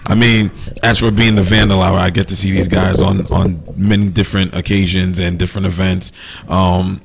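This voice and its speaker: A man's voice: low-pitched, little pitch movement, normal speed, creaky, noisy background. A man co-hosting a podcast as a DJ, easygoing and laughing often, with a powerful voice. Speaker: DJ and podcast co-host. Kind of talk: casual podcast chat.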